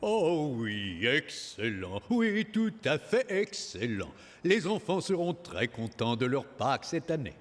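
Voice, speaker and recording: A man's voice: mid-range voice, very animated, takes it slowly, faint music behind. An actor playing a kindly, formal rabbit king in a cartoon, speaking in a stately, ceremonious way. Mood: pleased. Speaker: voice actor in a French-language cartoon. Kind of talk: animated film character acting.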